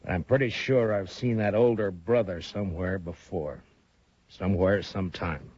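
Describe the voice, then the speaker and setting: A man playing a calm, firm frontier marshal. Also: deep voice, close to an everyday voice. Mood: guarded. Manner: measured, dry, authoritative. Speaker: lead character. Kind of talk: 1950s radio drama acting. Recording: muffled old radio broadcast.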